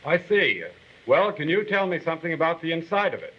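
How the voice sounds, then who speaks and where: An actor playing a polite, businesslike male census enumerator in a 1940s training film, speaking formally and deliberately as he explains. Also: on the high side, lively intonation. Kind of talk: 1940s instructional film acting. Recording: dull, lo-fi 1940 film soundtrack.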